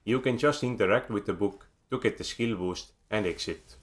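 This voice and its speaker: A man with an Estonian accent narrating a scripted video game guide in a measured, dryly wry manner, recorded as a close voice-over. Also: lowish voice.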